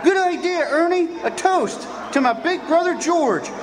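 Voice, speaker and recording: A man's voice: extremely high pitch, animated, a little slow, crowd noise, background noise. A man acting in a live community radio play, cheerfully voicing a younger brother. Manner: cheerful, toasting. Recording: live stage with audience.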